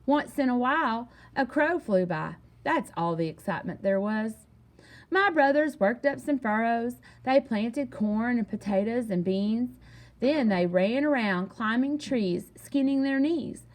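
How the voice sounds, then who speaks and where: A woman reading a children's story aloud in an animated, expressive way with emphatic delivery. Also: fairly high pitch, unhurried. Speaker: storybook reader.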